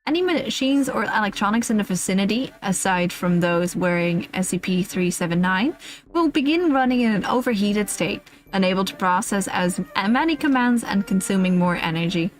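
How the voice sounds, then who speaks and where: A woman's voice: medium pitch, expressive, normal speed. A woman streamer reading a humorous list aloud in a casual, playful, giggly way, with offhand asides. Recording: music playing behind the voice. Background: background music.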